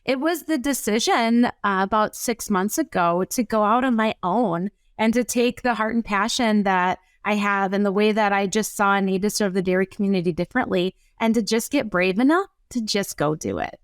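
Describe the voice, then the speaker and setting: A woman's voice: mid-range voice, normal speed, hardly any pauses, smooth, dry recording, studio quality. A middle-aged woman from northern Wisconsin, with that accent, speaking as an interview guest. She talks in a chatty, enthusiastic way with long runs of words and filler "uh"s. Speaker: podcast guest, dairy coach. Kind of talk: casual interview chat.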